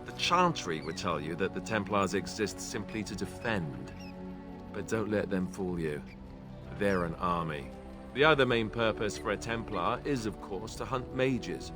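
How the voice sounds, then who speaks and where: A sardonic fantasy-game knight, acted by a man, with a low voice. He explains things drily and pokes fun at himself as he goes. Music is clearly heard behind him. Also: close to an everyday voice. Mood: explaining. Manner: dry, sarcastic asides. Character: wry young male ex-Templar warrior, sardonic, self-deprecating. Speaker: former Templar. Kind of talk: video game character dialogue.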